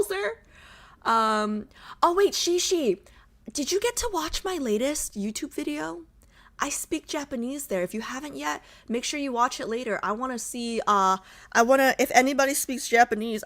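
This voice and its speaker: A woman's voice: a little high, fairly bright tone. A young adult woman streamer chatting casually with her viewers, bubbly and full of fillers. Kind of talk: casual chat with live chat.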